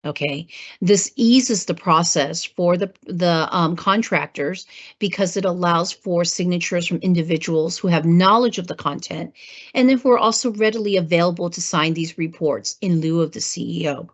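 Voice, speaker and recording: A woman with a hoarse voice from a cold, presenting a government training webinar, clearing her throat and using many fillers. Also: lowish voice, moderate pace. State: hoarse, getting over a cold. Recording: muffled lo-fi webinar audio.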